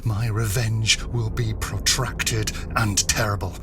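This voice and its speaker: A man's voice: fairly low pitch, fairly even intonation, slow, over music. A man playing a grief-stricken man solemnly vowing revenge in an audio drama. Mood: bitter resolve. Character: vengeful, grief-stricken man swearing undying hatred.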